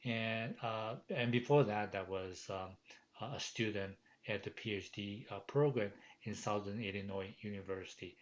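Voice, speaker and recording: A middle-aged man from Taiwan, a professor giving a course welcome lecture from his home office, with many fillers and pauses. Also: lowish voice. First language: Chinese. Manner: frequent hesitations and fillers, earnest. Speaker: university professor. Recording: dull lo-fi home recording.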